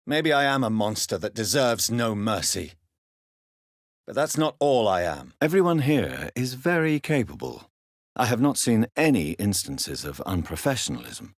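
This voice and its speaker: A man playing a grave, courteous, troubled gentleman doctor turned vampire in a video game, speaking formally and earnestly with a husky voice. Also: fairly low pitch, sing-song, normal speed, no echo, studio quality. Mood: sorrowful, troubled, then composed, earnest. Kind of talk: dramatic video game dialogue.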